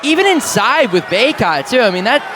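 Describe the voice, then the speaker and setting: A man's voice: extremely high pitch, very animated, moderate pace. A man giving conversational, analytical color commentary on a basketball game. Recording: crowd and arena noise.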